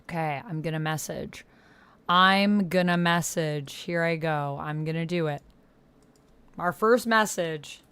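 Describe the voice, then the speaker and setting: A woman with a slightly low voice streams a text-based roleplaying game. She talks casually, thinking aloud and reacting to what she reads as she addresses her chat. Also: unhurried, fairly bright tone, clear, resonant tone.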